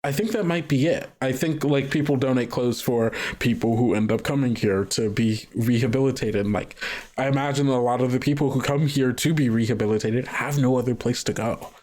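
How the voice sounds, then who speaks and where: A man's voice: mid-range voice, brisk, a little mellow. A man running a tabletop roleplaying game, narrating casually and hesitantly as he thinks aloud and trails off. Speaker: game master.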